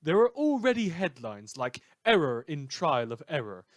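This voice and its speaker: Male actor as sardonic superhero-world newscaster, slightly high voice, theatrical, very dry close recording. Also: very animated, normal speed, dry recording. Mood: snide warning. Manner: sarcastic, theatrical, dry asides. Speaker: radio news host. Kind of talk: scripted comedic news broadcast.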